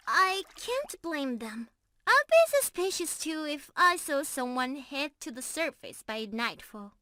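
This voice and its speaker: A young mermaid in love, acted by a woman, speaks in a very high voice at an unhurried pace. She is tender, playful and prone to giggles, addressing her beloved directly in an intimate ASMR roleplay. Her tone is clearly bright and full of affection. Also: full character voice. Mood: happy, teasing.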